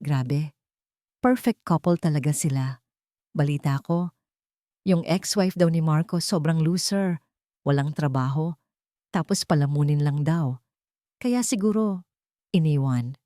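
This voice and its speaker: A woman's voice: sits low, measured pace, long pauses, dark tone, dry recording, clean studio sound. A woman voicing a gushing, gossipy young female office worker who mixes English into catty chatter.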